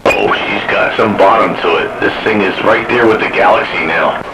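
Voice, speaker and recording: A man's voice: on the low side, moderate pace, vocal fry. A man chatting casually about radio gear, enthusiastic and folksy, with plenty of slang, over a two-way radio. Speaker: radio hobbyist and technician. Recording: noisy two-way radio transmission.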